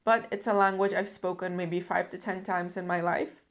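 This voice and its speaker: A woman's voice: fairly low pitch, steady tone. A young woman from the Netherlands talking to camera about her language goals, earnest and reflective. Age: young adult. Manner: earnest, reflective, measured with some fillers. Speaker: language learning vlogger. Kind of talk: casual talk to camera.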